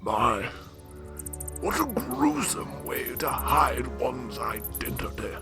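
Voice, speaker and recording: An actor playing a proper, dryly witty butler with a formal manner in a radio drama. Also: male voice, medium pitch, wildly swooping pitch, takes it slowly, gravelly, over music, full character voice. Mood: shocked, droll. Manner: formal, droll.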